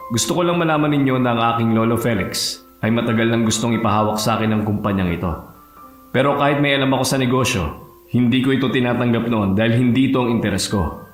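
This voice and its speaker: A man's voice: mid-range voice, close to an everyday voice. Man acting a suave young heir, roomy echo. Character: suave, earnest young male billionaire heir. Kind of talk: romantic Tagalog audio drama acting.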